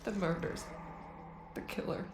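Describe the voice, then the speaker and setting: A woman playing a distressed agent in a game, halting and strained as she struggles for control. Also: close to an everyday voice. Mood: desperate struggle. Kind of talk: video game cutscene acting. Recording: echoey, very noisy ambience.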